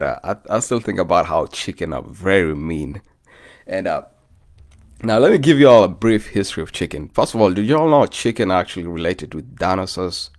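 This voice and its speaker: A Kenyan man with a low, deep voice that swings widely up and down as he talks. He rambles in a loose, friendly way and keeps calling his listeners "bro". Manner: loose and chatty, often says "bro", greets live commenters. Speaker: vlogger. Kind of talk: casual rambling chat to viewers.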